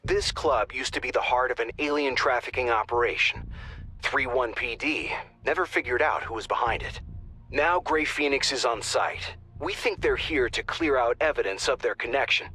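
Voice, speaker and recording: An actor playing a brisk male mission handler in a tactical game, directing a squad with dry, wry wit. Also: mid-range voice, pushed and bright, husky. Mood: brisk, businesslike. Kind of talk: scripted game mission briefing.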